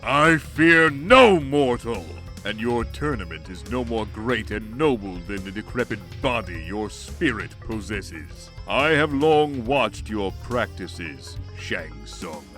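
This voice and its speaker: A man's voice: fairly low pitch, animated, slow pace, few pauses, bright, forceful tone, soft background music, exaggerated voice. A man voicing a proud thunder god in a comic dub, declamatory and haughty. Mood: haughty defiance. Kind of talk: amateur comic dub voice acting.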